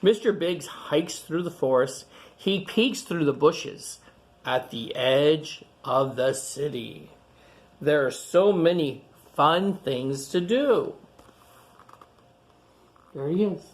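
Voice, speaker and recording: Guy reading a kids picture book out loud, real slow, keeps pointing stuff out in simple words. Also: a little high, animated. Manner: pauses to point out and comment on pictures; simple, repetitive phrasing aimed at young children. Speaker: storytime reader and host.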